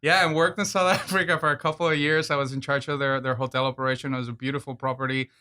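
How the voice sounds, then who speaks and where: A bright, smooth male voice from a man from Venezuela, talking in an easygoing, filler-heavy way. He keeps a fairly level pitch and breaks into laughs often. He sounds like a chef in his thirties chatting as a guest. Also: level tone, fast talker. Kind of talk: casual interview chat.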